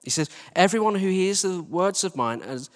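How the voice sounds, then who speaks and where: A male preacher with a fairly high voice speaks in a long, churchy reverb. He delivers a conversational sermon with emphatic phrasing. He poses rhetorical questions and repeats key words to drive them home. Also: animated, normal speed. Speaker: church team leader preaching. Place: large reverberant indoor church space.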